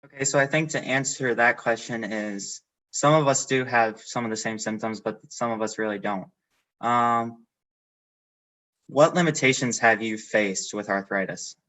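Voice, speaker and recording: Teen boy host, bright forceful voice, quick halting talk. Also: mid-range voice, poor audio. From South Dakota. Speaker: host of a live panel. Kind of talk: casual live Q and A moderating.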